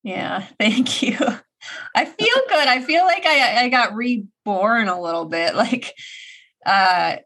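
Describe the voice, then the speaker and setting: 40s lady, bit raspy from being sick, bright punchy voice, laughs a lot. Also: fairly high pitch, takes it slowly, a little hiss or hum. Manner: laughs often, animated. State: slightly raspy from recent illness. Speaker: podcast co-host. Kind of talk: casual chat.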